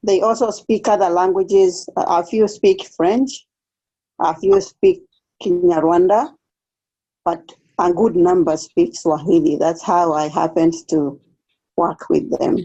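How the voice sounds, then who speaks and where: A Kenyan woman talks slowly and carefully, stopping to restart her sentences as she answers questions in an online seminar. Her voice is mellow and unhurried. The video call audio sounds dull and lo-fi. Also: on the low side, fairly even intonation, husky. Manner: careful, hesitant, with pauses and restarts. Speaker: case aide, guest speaker.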